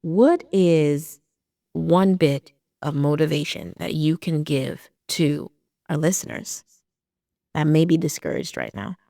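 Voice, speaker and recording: Raspy-voiced woman podcast host. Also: low voice, expressive, slow, dark tone, no room sound, clean studio sound. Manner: encouraging, affirming. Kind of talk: casual interview chat.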